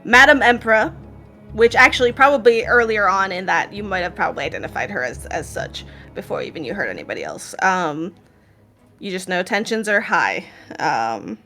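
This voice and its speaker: Bright-voiced Israeli woman running the game. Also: mid-range voice. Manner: laughs often, casual with fillers. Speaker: game master. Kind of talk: narrating a tabletop game.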